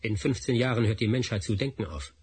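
A man's voice: fairly low pitch, lively intonation, quick pace, husky. An actor playing a driven male scientist on the run, speaking urgently in a radio drama. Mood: defiant. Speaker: Doctor Spassky.

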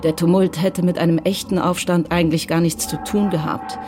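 Woman narrator, radio crime drama, calm measured telling, music underneath. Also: on the low side.

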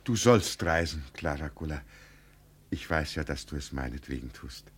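A man's voice: a bass voice, animated, moderate pace, slightly rough, exaggerated voice. An actor playing a tender, sentimental middle-aged poor country father in a 1950s German radio play, wistful and earnest. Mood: sad, tender.

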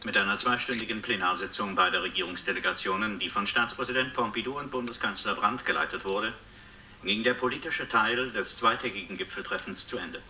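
A man's voice: on the low side, fairly quick, pushed and bright. A man playing a television news correspondent, reading a formal, factual scripted report. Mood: sober, factual. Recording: noisy, distant television sound.

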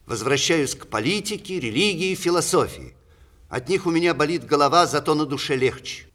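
An ageing, witty playwright in a classic radio play. His delivery is declamatory and ironic, swinging widely in pitch as he pronounces on the world with strong opinions. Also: lively intonation, a little fast, a bit bright. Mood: wry, reflective. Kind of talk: 1960s Russian theatre radio play acting.

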